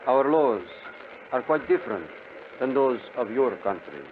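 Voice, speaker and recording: An actor playing a stern foreign passport official with a stiff, courteous manner in a 1950s radio drama. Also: male voice, mid-range voice, sing-song, measured pace. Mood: formal, stern. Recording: thin, phone-like, noisy old radio recording.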